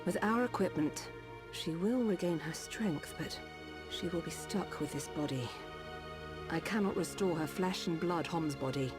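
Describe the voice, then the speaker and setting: An actress playing a calm, dutiful village doctor of a machine race in a video game cutscene, over music. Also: female voice, fairly low pitch, a little slow, close to an everyday voice. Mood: grave, clinical.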